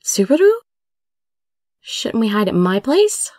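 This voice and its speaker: A woman's voice: a little high, very animated, slow pace, a little mellow, dry recording, studio quality. A woman voicing a hurt, feisty young woman in a romance story, emphatic and indignant. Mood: distressed, questioning. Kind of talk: dramatic romance story reading.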